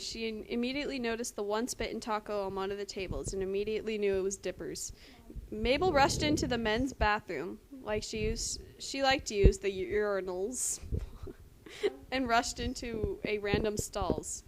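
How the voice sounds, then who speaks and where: A giggly woman doing an amateur dramatic reading with casual commentary, often breaking into laughter. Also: a little high. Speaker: co-reader and commentator. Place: at home in a house. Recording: noisy home recording with clicks.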